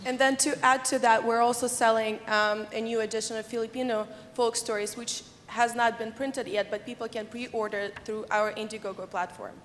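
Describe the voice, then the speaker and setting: A young woman from Ukraine presents with a fairly high voice. She is a student pitching and fielding questions. The hall adds reverb, with some faint noise. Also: steady tone, moderate pace, hardly any pauses, fairly bright tone. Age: young adult. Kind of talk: rehearsed pitch and question answering.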